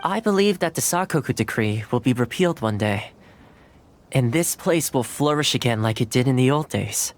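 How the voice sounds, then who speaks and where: An actor voicing a courteous, easygoing young male retainer in game dialogue, polite and gently humorous. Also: medium pitch, animated, moderate pace, slight background noise, close to an everyday voice. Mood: heartfelt.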